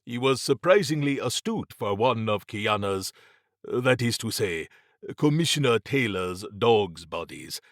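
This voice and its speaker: Guy doing a wry bookish gentleman occultist, kinda pompous n formal, lowish voice, storybook style, very dry recording. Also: animated, dry recording. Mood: grave, measured. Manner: formal, deliberate, understated. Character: wry, erudite British gentleman occultist, measured, faintly pompous. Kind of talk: audiobook character acting.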